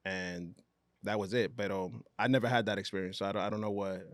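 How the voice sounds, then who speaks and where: A man, a guest on a casual podcast, relaxed and slangy, often trailing off quietly. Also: on the low side, a little fast, no echo. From New York.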